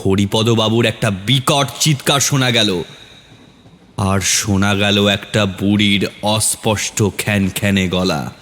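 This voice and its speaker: A man's voice: lowish voice, a bit bright, slight background noise. A man narrating a scripted horror story in a dramatic, suspenseful manner.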